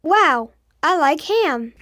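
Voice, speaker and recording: A woman's voice: high voice, expressive, slow, a bit bright, full character voice. A woman voicing a small eager child in a children's lesson cartoon, with careful exclamatory delivery. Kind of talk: slow, simple scripted lesson dialogue.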